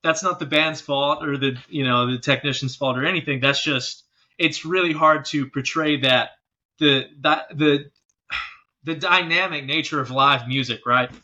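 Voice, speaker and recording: A man's voice: a little high, normal speed, sharp tone, dull audio. A man speaking casually and informally as an interview guest, a working musician talking about his job. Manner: informal, often checking in with "you know". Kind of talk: casual chat.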